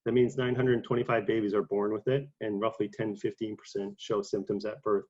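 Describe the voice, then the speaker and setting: Congested young man, low flat voice. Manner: halting, many fillers and repeated words. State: congested from a cold. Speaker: conference speaker. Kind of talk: career talk to an online audience. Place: online presentation. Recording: dull lo-fi sound.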